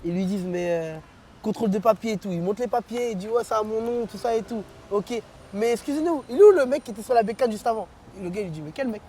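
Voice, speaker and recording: A man's voice: high voice, fast talker, slight background noise. A man, animatedly telling stories as an interview guest, constantly checking that the listener follows. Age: 20s. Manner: animated storytelling, frequent "tu vois". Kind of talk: casual street slang chat.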